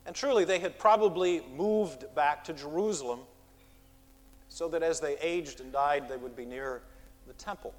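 A man preaching an expository sermon from a pulpit, measured, with emphatic rises on key words. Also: on the high side, big-room sound. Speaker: pastor. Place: church sanctuary.

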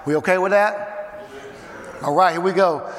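A man in his thirties from South Carolina, slightly hoarse, giving a casual, energetic chapel talk to students as a guest coach. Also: high-pitched, a little slow, big-room sound. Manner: energetic, conversational, says um and uh. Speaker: guest chapel speaker and youth football coach. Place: campus chapel.

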